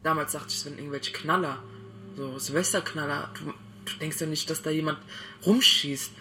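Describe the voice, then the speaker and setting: A man's voice: on the high side, steady tone, moderate pace, noisy background. A man giving a spontaneous eyewitness recollection in casual speech.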